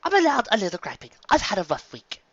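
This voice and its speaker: A man's voice: high-pitched, wildly swooping pitch, quick pace, fairly bright tone, lo-fi sound, voice pushed up, full character voice. A man voicing an earnest, determined young farmer mare in a story, with a pleading, insistent manner. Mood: griping, insistent.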